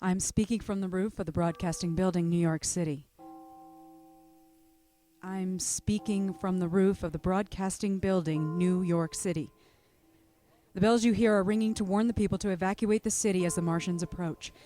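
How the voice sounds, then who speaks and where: A man's voice: high-pitched, steady tone, moderate pace, warm tone, faint music behind, close to an everyday voice. A man playing a doomed rooftop radio announcer. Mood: grave. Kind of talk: 1930s radio drama acting.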